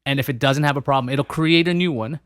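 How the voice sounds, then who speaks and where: Man, podcast host, mid pitch, dry close sound, musing, restarts often. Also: quick pace, fairly bright tone, no echo. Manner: musing, thinks aloud with frequent restarts. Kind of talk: casual interview conversation.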